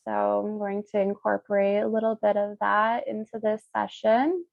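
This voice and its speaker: A woman leading a guided meditation as a Reiki practitioner, speaking soothingly in short, unhurried phrases with pauses. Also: medium pitch, steady tone, gravelly, no room sound, muffled recording.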